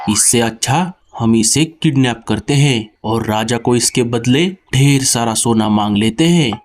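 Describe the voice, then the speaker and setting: A man's voice: mid-range voice, a little hiss or hum, close to an everyday voice. A man voicing a menacing vulture bandit in a children's cartoon, scheming and bullying. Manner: bullying, scolding. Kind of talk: Hindi children's cartoon dialogue.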